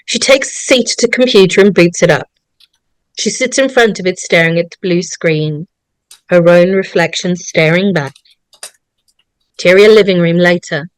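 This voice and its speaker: A woman serves as narrator, reading screenplay directions aloud. Her voice sits at a middle pitch, and the recording is dry with little room sound. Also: no echo, rough recording.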